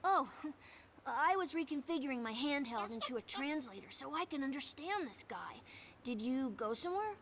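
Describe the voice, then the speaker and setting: High, earnest little boy fox. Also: lively intonation, moderate pace, fairly bright tone, slight background noise. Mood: puzzled, earnest. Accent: American.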